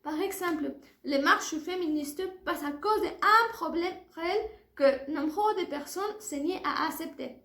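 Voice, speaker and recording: A woman's voice: very high voice, measured pace, a bit bright. A woman in her early twenties with a Mexican accent, a native Spanish speaker giving a careful, formal prepared oral presentation as a language student. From Puebla, Mexico. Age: early 20s. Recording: echoey room sound.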